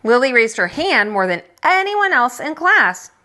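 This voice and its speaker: A woman reading a children's picture book aloud as a teacher, expressive and dramatizing, with asides about the pictures. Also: on the high side, unhurried.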